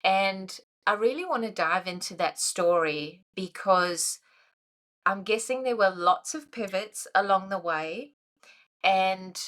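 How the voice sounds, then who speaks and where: A woman hosting a podcast interview, upbeat and affirming as she introduces and questions her guest. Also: mid-range voice. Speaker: podcast host.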